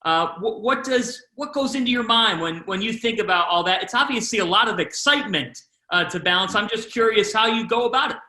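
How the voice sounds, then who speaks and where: A man interviewing a college athlete, asking long, lively questions and speeding up and slowing down as he talks. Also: sits high, roomy sound. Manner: lively, shifts pace within questions.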